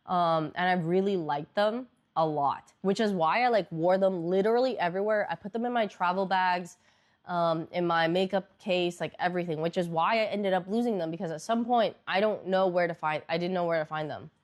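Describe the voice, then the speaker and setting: Stuffy nosed young woman streaming, rambly casual chat, kinda low voice. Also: a little fast. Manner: rambling, lots of fillers. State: congested, nasal.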